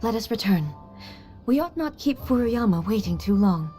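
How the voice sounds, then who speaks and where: A woman voicing a calm regal goddess ruler. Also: mid-range voice, close to an everyday voice. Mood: serene. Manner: measured, formal and serene. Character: calm regal female deity ruler, composed. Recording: game audio with soft music.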